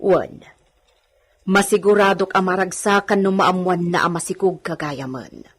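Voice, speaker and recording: Low-voiced, concerned woman confidante. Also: steady tone, a little slow, breathy edge. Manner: urging, counselling. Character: concerned, advising female household confidante, elder-sister manner. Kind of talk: radio drama acting.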